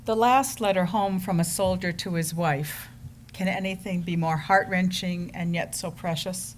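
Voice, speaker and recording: Solemn woman narrator, slightly low voice. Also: fairly even intonation, moderate pace. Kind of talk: scripted stage narration. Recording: live stage recording with background noise.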